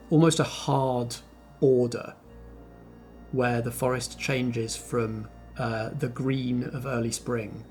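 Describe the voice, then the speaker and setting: A male game master narrates a tabletop roleplaying story in a mid-pitched voice. He talks at an unhurried rate and sets out scenes in descriptive phrases with deliberate pauses. Faint music plays behind him. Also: darkish tone.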